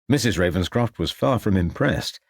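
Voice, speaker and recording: A man's voice: deep voice, animated, normal speed, no room sound, studio quality. A man voicing a dutiful junior policeman giving a formal, matter-of-fact report in an audiobook. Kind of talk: audiobook character acting.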